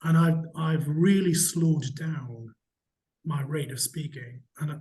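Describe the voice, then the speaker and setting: A middle-aged man from Newcastle with a slight Geordie accent, answering interview questions in a measured, candid way with frequent restarts. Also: a little high, dark tone. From Newcastle, England. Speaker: hotel company chief executive, interviewee.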